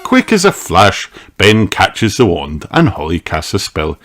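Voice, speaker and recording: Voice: low-pitched, wildly swooping pitch, a little slow, background noise. A narrator reading a children's storybook aloud from a script.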